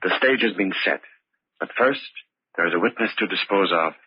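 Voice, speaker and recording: An actor playing a grave, ominous narrator of a murder thriller, with measured old-time radio delivery. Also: male voice, low voice, heavy background noise, close to an everyday voice. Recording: muffled old radio recording.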